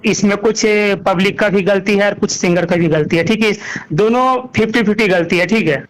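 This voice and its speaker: A man's voice: sits high, fairly quick, poor audio. A man with an eastern Indian Bhojpuri-belt accent answering as a singer in an informal interview, emphatic and repeating himself. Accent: Bhojpuri-Magahi belt Hindi, eastern India.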